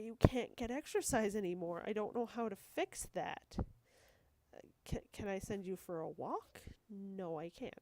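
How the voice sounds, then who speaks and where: A woman's voice: mid-range voice, mellow, dry recording. A woman with a cold, a bit stuffed up and wheezy, chatting casually as she plays a game and apologizes often. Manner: chatty, self-deprecating, apologetic asides. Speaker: gaming video host. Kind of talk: casual rambling gameplay commentary.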